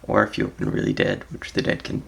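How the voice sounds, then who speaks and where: A man with a raspy, just-woke-up voice, casually walking through his card deck with frequent ums and soft trailing phrases. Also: fast. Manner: laid-back, hesitant, frequent ums, trails off softly. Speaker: card game player presenting his deck.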